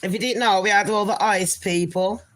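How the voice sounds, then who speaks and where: A woman with a nasal voice hosts a gossip livestream in a mid-pitched tone. She chats loosely and rambles, often turning to address her viewers directly as people or darlings. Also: steady tone, normal speed, fairly bright tone, poor audio. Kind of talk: casual live chat to viewers.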